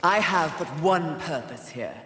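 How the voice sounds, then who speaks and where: A hot-tempered female rider of the apocalypse for a video game. She is imperious and scornful, slow and lively in pitch, in an echoing space. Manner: imperious, scornful, clipped commands. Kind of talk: video game cutscene acting. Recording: echoey hall reverb, background noise.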